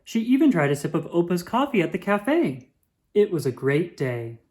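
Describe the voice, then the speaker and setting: Female storyteller for picture-book read-aloud: low register, highly animated, dramatic emphasis. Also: normal speed. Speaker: children's book reader.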